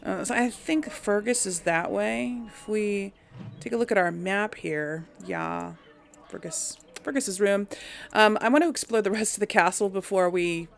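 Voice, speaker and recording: A woman's voice: mid-range voice, expressive, measured pace. A woman giving casual let's play commentary, hesitantly thinking aloud as she goes. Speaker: gaming video host and player.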